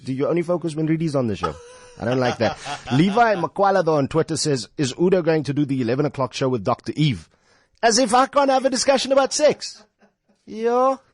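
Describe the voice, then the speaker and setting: A man's voice: on the high side, big pitch swings, a little fast, no room sound. A man with a South African accent hosting a live radio phone-in in an informal, playful way, with slangy banter. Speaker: radio talk show host. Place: radio studio.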